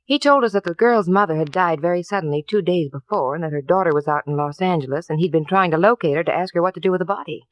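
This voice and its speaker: An American woman playing a quiet, matter-of-fact wife in her thirties in a 1950s radio drama. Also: fairly low pitch, quick pace, hardly any pauses, no echo, muffled recording, lightly acted. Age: 30s.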